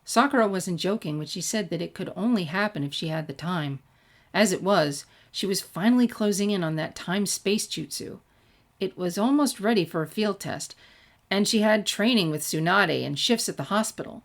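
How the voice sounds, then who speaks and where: A woman's voice: on the low side, expressive, normal speed. A woman reading a scripted story aloud as an audiobook-style narrator. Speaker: audiobook-style reader of fan fiction.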